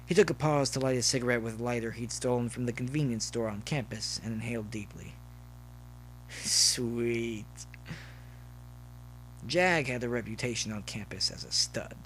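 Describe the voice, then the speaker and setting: A man narrates a scripted story in a medium-pitched voice. He reads prose as a storyteller would. A faint hum sits behind the recording. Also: a little slow, low-quality recording. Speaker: narrator, fan fiction reader.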